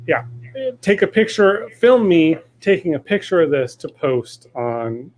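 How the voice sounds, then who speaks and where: A man's voice: fairly high pitch, big pitch swings, a little slow. Chatty man hosting a live cooking demo. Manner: chatty, rambling, full of fillers and restarts; says he has a loud voice. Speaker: cooking show host.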